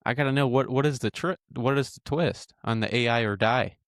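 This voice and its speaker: A man in his twenties hosting a casual interview, asking informal questions in a halting way. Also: medium pitch, no echo, studio quality. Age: 20s. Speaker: podcast host, interviewer.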